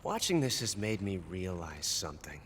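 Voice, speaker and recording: A man playing a menacing, sadistic military officer in a dramatic video game cutscene, taunting with slow relish. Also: deep voice, sing-song, a bit bright, long reverb tail. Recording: clearly noisy camcorder capture of game.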